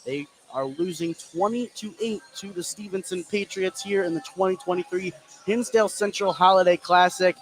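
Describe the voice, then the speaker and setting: A man's voice: sits high, moderate pace, poor audio. A man doing live play-by-play radio commentary of a basketball game. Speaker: play-by-play announcer. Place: indoor basketball gym during a game. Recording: clear gym noise behind the voice.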